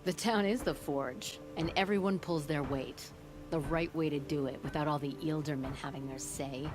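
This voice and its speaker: A low, blunt voice of a proud forge boss woman in middle age , played by an actress. She boasts with gruff, folksy humor in the manner of a game character. Music plays clearly underneath. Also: moderate pace, close to an everyday voice. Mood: boastful, amused. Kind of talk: video game character acting.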